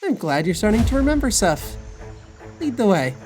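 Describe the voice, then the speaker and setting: Gentle, wide-eyed young traveller boy played by a woman. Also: medium pitch, very animated, moderate pace, faint music behind, close to an everyday voice. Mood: calm, friendly. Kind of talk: audio drama acting.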